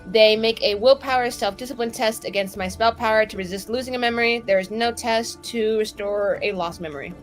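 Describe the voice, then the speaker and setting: A woman's voice: a little high, fairly quick, hardly any pauses. A woman playing in a tabletop roleplaying show, chatting in a casual, improvised way. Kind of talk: casual improvised game chat. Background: faint music.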